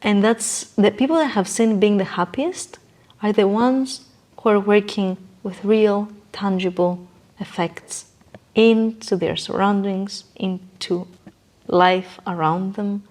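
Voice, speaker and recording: A woman talks as the guest in a podcast conversation. She explains things earnestly and with emphasis, often rounding off a point with a short tag question. Her pitch sits in an average range for a woman. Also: slow, a little mellow. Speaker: interview guest, coach.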